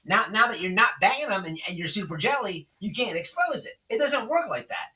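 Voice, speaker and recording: A man with a fairly high voice and a sharp, bright edge delivers off-the-cuff drama commentary. He is emphatic, circles back to repeat his points and tags them with little check-ins. The microphone picks him up from a slight distance. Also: very animated, fairly quick. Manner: emphatic, repetitive, checks in with "okay?" and "right?". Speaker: YouTube commentary host.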